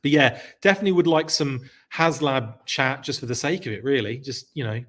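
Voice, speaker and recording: A man's voice: medium pitch, brisk, fairly bright tone. A man hosting a live stream, chatting loosely and reading viewer comments aloud, his throat a bit scratchy. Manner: chatty, rambling, self-correcting. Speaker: podcast host.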